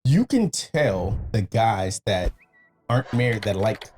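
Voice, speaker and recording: A man's voice: fairly low pitch, expressive, unhurried, darkish tone, faint music behind. A man chatting casually on a podcast, joking in slangy, profane talk. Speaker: podcast speaker.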